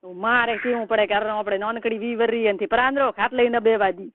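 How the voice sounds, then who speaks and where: A woman's voice: fairly high pitch, fairly even intonation, a little fast, no room sound. A middle-aged woman with a rural Rajasthani Marwari accent, talking colloquially and scoldingly in a private phone conversation. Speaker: caller, relative giving advice. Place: recorded telephone call. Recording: tinny phone line, noisy call recording.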